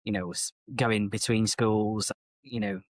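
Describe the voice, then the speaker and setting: A middle-aged man speaks openly and reflectively about his life as a podcast guest. His voice sits on the low side, and the recording is dry and close. He circles back and restarts phrases as he talks. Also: fairly even intonation, unhurried, dry recording. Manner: open, reflective, chatty, self-correcting. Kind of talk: candid personal storytelling.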